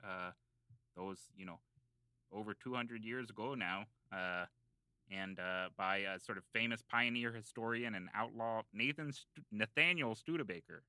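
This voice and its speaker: A man plays an earnest local history buff on a comedy podcast. His low voice is very bright and crisp, and he rambles through hesitant, mock-scholarly explanations. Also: normal speed, no room sound. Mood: earnest, rambling. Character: rambling, self-serious small-island local man explaining town lore. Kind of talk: improvised comedy podcast banter in character.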